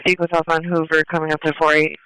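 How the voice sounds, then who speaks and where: A man's voice: fairly high pitch, monotone, rapid-fire, sharp tone, clear, resonant tone, no echo, low-quality recording. A man working as a police radio dispatcher, relaying pursuit updates in a clipped, procedural way.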